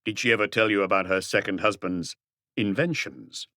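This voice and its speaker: Casting: male reader as composed male detective in crime audiobook, lowish voice, persistent terse questioning, dry intimate sound. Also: normal speed, bright, forceful tone, no room sound. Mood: insistent. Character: composed, probing male French police detective. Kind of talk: audiobook character dialogue.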